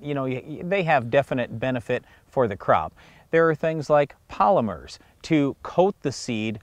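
A man's voice: medium pitch, dry recording. Middle-aged man hosting a farm show. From United States. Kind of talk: scripted educational farm talk. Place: outdoors in a harvested field.

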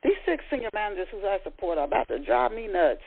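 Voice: mid-range voice, big pitch swings, fast talker, vocal fry, breathy edge, no echo, full character voice. A reader giving voice to a stressed woman office worker talking to her sister, read aloud over a phone line. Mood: weary. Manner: read aloud, moaning about work.

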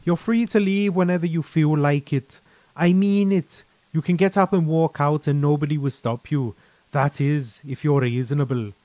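A man's voice: a little high, fairly even intonation, normal speed, mellow, no room sound, close to an everyday voice. A man voicing a chatty, frank, easygoing veteran soldier in a sci-fi story, with colloquial, mildly profane, persuasive talk. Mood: friendly, reassuring.

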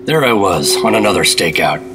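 An actor playing a wry, steady government agent who narrates a 1950s radio drama in a dry, laconic way. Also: male voice, deep voice, normal speed. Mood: wry, tense. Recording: voice over prominent background music.

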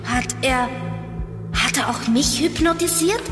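An actress playing a plucky, concerned young junior sleuth in a children's audio drama, earnest and full of questions. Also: female voice, sits high, a little slow. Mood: concerned, curious. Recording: echoey reverberant hall, music underneath.

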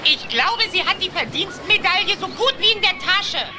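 A cheeky little duckling boy, extremely high and perky. This cartoon audio drama voice sits in loud noise with a crowd behind. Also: animated, moderate pace. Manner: perky, boastful. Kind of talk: German cartoon radio play acting.

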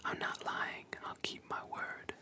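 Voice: unhurried, lightly acted. A storyteller voicing a blunt, exhausted captive swordsman in a whisper, as in a manga retelling. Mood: weary. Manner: blunt, terse.

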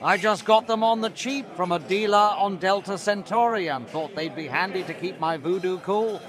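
Man as a high-pitched luckless scoundrel. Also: normal speed, lightly acted. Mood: defensive. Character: down-on-his-luck scoundrel, pleading and self-excusing. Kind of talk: animated comic dialogue. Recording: bar crowd behind.

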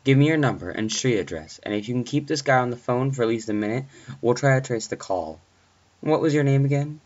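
A man's voice: medium pitch, animated, fairly quick, darkish tone, lo-fi sound, pitched above the performer's own voice, close to an everyday voice. A man playing a businesslike, reassuring male police dispatcher in a horror story.

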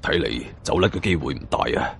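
A man's voice: extremely low pitch, animated, fast talker, creaky, fairly bright tone, soft background music, pitched below the performer's own voice, exaggerated voice. A man with a Hong Kong Cantonese accent voicing a cool, wary male companion, terse and guarded, in a suspense audiobook.

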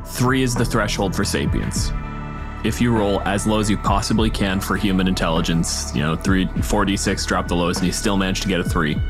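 A man in a casual panel discussion about tabletop games, explaining things at length in vivid descriptions. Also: low voice. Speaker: podcast panelist.

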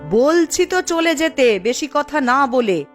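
A woman's voice: sits high, animated, slow, soft background music. A woman playing an emotional village wife in a fairy-tale cartoon, with a dramatic, emphatic delivery. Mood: scornful, angry. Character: emotional village wife, by turns scolding and tender.

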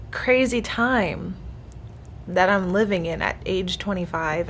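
A woman's voice: on the low side, slight background noise. A woman of twenty-five talking casually and earnestly to the camera from a hotel room, grateful and chatty. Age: 20s. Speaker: vlogger. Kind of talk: casual reflective vlog talk. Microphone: camera held close at arm's length.

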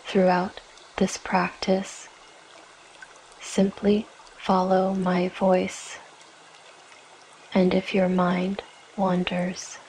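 A woman with a fairly low voice leads a guided relaxation. Her delivery is gentle and extremely flat in melody, unfolding very slowly with long pauses. A soft wash of water sounds runs behind her. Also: slight background noise, low-quality recording. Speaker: yoga nidra guide. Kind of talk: guided meditation, scripted narration.

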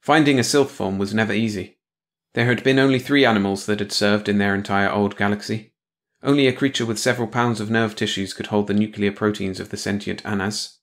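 A man with a slightly low voice narrates a story. He reads from a script as an audiobook narrator, in the style of a bedtime read-along.

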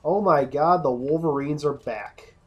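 A man's voice: a little high, a little slow, muffled recording. A man casually commenting over gameplay, rambling and often restarting his sentences. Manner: rambling, self-interrupting, casual. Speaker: let's play host.

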